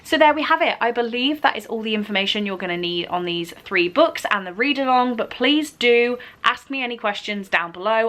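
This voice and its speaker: A woman's voice: medium pitch, bright, forceful tone. A woman book vlogger making an upbeat, casual announcement to her viewers in a chatty, enthusiastic way. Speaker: book vlogger and read-along co-host.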